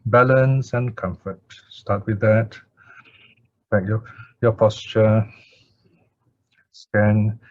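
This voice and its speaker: A man speaking as a meditation teacher in measured short phrases with many pauses, guiding or chanting. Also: lowish voice, no echo. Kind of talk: slow guided meditation instruction and Pali chanting. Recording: dull lo-fi audio.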